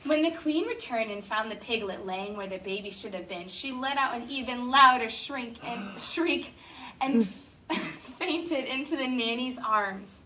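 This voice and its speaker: Bright-voiced teacher reading to kids. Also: female voice, on the high side. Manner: expressive, drawn-out storytelling with eager questions. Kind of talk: reading a picture book aloud to children. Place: classroom. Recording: distant classroom camera with faint noise.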